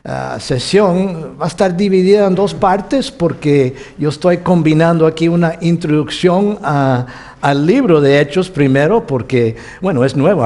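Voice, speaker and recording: A man teaching from the Bible to a congregation, with Costa Rican and Mexican Spanish and a halting manner full of restarts. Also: on the high side. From United States, California. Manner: warm, halting with many restarts, chuckles often. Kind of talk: sermon teaching.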